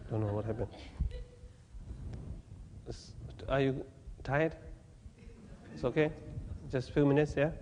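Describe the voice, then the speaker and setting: Man, Buddhist teacher, unhurried talk, long hall reverb, faint background hum. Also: medium pitch. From Tibet. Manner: halting, plain non-native English with gentle humor. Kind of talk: dharma talk to an audience. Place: talk venue with audience.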